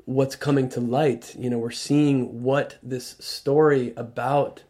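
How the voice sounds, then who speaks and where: Radio guest, male, earnest reflective talker who stops and restarts, mid-pitched voice. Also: fairly even intonation. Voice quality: loud voice. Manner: earnest, halting with many restarts. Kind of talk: reflective conversational talk. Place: radio studio.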